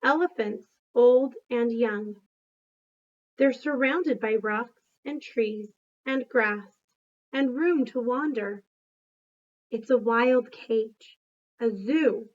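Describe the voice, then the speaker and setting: A woman voicing a thoughtful, gentle male gorilla who tells his storybook tale in a plain, measured way. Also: a little high, lo-fi sound. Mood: wistful.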